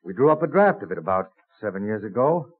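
An actor playing a modest, plain-spoken and enthusiastic colonial botanist in a vintage radio drama. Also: male voice, fairly low pitch, very animated, fast, husky. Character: modest, hearty colonial botanist and plant collector. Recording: muffled, narrow-band old radio recording.

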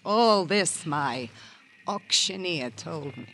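Bitter, creaky-voiced jilted shop worker. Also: male voice, a little high, animated, slow pace, pushed and bright, faint music behind, exaggerated voice. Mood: wounded. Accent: American. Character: jilted, bitter auction-shop worker, sardonic and fixated on Eddie. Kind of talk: 1950s American radio crime drama acting. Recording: noisy old radio drama recording.

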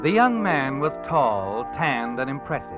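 A man's voice: medium pitch, very animated, unhurried. A man playing an omniscient, sinister storyteller, narrating an old radio mystery dramatically. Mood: wry, knowing. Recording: dull vintage radio recording. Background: music.

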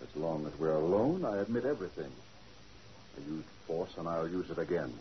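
An actor playing a cold, menacing, smooth-talking racketeer in 1940s radio style. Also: male voice, low-pitched, expressive, brisk. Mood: smooth menace. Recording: muffled old radio recording, faint noise.

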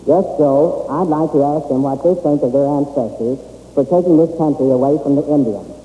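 A man's voice: on the high side, normal speed. A man speaking articulately in plain sentences as a guest on an old-time radio show. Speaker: studio guest. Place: stage in an auditorium. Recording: dull old radio recording, echoey hall.

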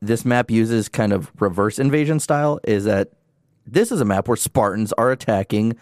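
A man co-hosting a casual gaming podcast, chatty and enthusiastic, with quick asides. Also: on the low side, darkish tone, no echo, clean studio sound. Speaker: podcast co-host.

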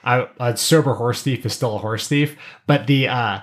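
A middle-aged podcast co-host chatting casually, with an informal, halting delivery and slangy asides. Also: mid-range voice. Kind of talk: casual chat.

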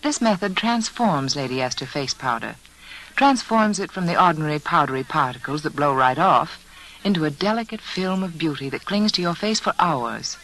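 Animated, persuasive 1940s radio spokeswoman. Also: low-pitched, moderate pace, slight background noise, rough recording. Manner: polished, persuasive, carefully phrased. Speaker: commercial spokeswoman. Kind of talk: scripted 1940s radio advertisement.

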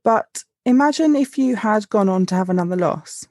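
A woman in her thirties speaks openly and frankly, with a touch of wry humour. Her pitch is an ordinary middle one. The sound is close and dry, with no echo. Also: expressive, moderate pace, a little mellow. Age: 30s. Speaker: podcast co-host. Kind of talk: casual heartfelt conversation.

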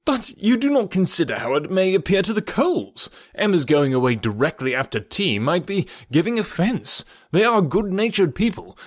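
A man voicing a sociable, persuasive country gentleman in a classic novel, genial, emphatic and insistent. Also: on the high side, no echo, clean studio sound, voice pushed up. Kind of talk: audiobook character voice from a classic novel.

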